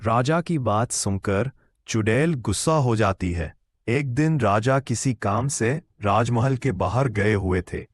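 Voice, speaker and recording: A man playing a grave holy man recounting a cartoon tale in a measured, explanatory way. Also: medium pitch, breathy edge, no echo. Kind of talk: Hindi cartoon story narration.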